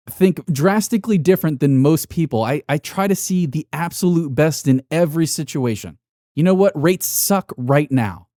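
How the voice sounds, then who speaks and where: A man's voice: fairly high pitch, mellow, dry recording, clean studio sound. A man hosting a solo podcast in an unscripted monologue, ranting bluntly and emphatically with heavy swearing to motivate. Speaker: podcast host.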